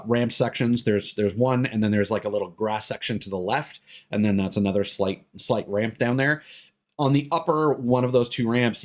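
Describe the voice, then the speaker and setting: A man streaming a video game, giving casual commentary in a rambling, explanatory way that halts mid-thought. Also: fairly low pitch. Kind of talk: casual live gameplay commentary. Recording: game music behind the voice. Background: video game music.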